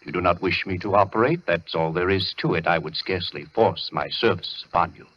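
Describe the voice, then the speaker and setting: An actor playing a deranged asylum inmate who poses as a surgeon, imperious and menacing, with grandiose formal diction in an old radio thriller. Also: male voice, low voice, a little fast, breathy edge. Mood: smug menace. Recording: muffled old radio broadcast, music behind.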